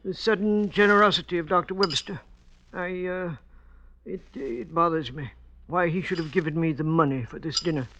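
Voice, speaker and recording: A man's voice: sits high, moderate pace, bright, forceful tone. A man playing a worried, suspicious janitor in an old-time radio drama, hesitant and folksy. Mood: uneasy. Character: worried, suspicious small-town college janitor husband. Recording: dull old radio recording.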